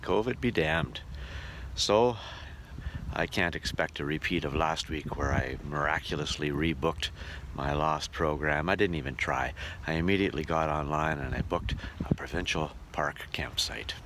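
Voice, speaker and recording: Male travel vlogger, low voice, casual delivery, clearly noisy on-location sound. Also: normal speed. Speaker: travelling musical entertainer and vlogger. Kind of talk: casual travel vlog narration. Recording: noisy on-location sound, road and ambient noise.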